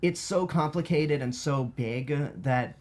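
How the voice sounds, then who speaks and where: A man's voice: fairly high pitch, slow, darkish tone. A man giving his opinions in a casual panel chat as a film critic, somewhat hesitant as he explains. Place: studio.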